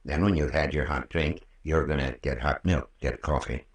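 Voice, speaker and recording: An actor playing a folksy, patient, long-winded country doctor with a rural American accent in an old-time radio drama. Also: male voice, very deep voice. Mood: soothing. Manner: folksy, unhurried, patient storytelling. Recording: roomy, distant old radio recording.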